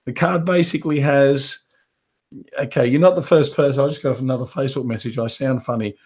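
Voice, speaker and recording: A man with a head cold who is losing his voice, teaching a live webinar for business owners. He coughs and clears his throat often, and acts out sales pitches as quoted dialogue. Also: fairly even intonation, fairly quick. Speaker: business coach.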